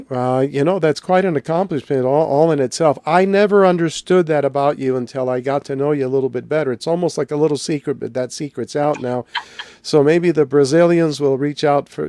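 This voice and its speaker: Chatty higher-voiced male host. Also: darkish tone, no room sound. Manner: chatty, rambling with repeated words. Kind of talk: casual interview talk.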